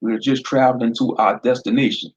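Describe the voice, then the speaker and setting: A man voicing a calm young man who speaks politely and persuasively. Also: mid-range voice, a little fast, breathy edge, dull audio, lightly acted. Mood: persuading.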